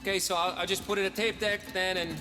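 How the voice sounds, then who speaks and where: A man's voice: sits high, little pitch movement, fast, big-room sound, close to an everyday voice. An actor playing a nervous, nerdy young male tech guy in a video game, hesitant and stammering. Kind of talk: video game cutscene acting. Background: game music.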